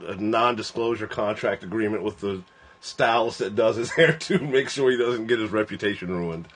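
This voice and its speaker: A man in his forties chats loosely on a phone livestream. He rambles, laughs often, and his pitch jumps high and drops low from line to line. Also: normal speed, breathy edge. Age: 40s. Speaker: host of a livestream. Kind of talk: casual joking chat.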